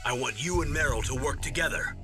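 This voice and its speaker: A man's voice: medium pitch, fairly quick, music in the background, close to an everyday voice. An actor playing an authoritative military commander in a video game, matter-of-fact as he gives orders. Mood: firm. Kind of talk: dramatic video game radio-call acting.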